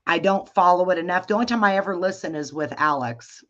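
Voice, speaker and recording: A woman with a deep voice hosting a casual live chat, talking hesitantly with many restarts. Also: fast, a bit bright, lo-fi sound. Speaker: livestream host.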